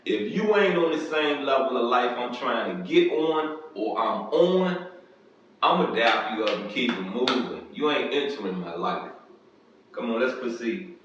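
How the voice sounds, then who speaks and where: A man's voice: medium pitch, expressive, unhurried, background noise. A man reading a novel aloud and breaking off into loose conversational commentary, often checking in with the listener. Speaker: reader and commentator. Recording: distant dull echoey room recording.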